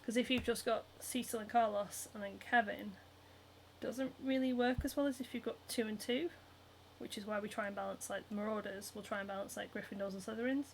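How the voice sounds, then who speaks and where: A woman's voice: mid-range voice, fairly even intonation, fairly quick, slight background noise, poor audio. A young woman with a Northern English accent, hosting a casual livestream while she sews, reading out viewer comments and chatting with lots of giggles, ums and likes. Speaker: cosplayer and livestream host. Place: home, hand sewing while streaming.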